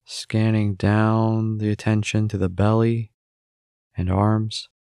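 Slow, low-voiced man guiding meditation. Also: little pitch movement, clean tone, no room sound, studio quality. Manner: gentle, unhurried instruction with long pauses. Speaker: meditation guide. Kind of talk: guided meditation narration.

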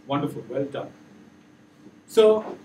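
Loud high-voiced man in an echoey room. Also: sing-song, slow, far from the mic, a little hiss or hum. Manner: loud, encouraging, asks the room questions. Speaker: workshop trainer. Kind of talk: interactive training session. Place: large meeting room with projector. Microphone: far camera, no mic.

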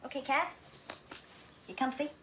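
An actress playing a forceful woman therapist in a film drama, insistent and badgering, with short repeated commands. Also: sits high, steady tone, moderate pace. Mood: coaxing. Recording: clear background noise.

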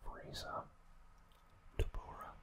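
A man narrating trivia in a hushed ASMR style, unhurried and full of pauses. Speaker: host.

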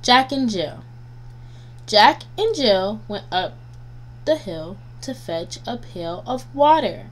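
A woman reading nursery rhymes aloud for children as a guest reader, in a deliberate, sing-song way. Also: mid-range voice, very animated. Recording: noisy home recording with hum.

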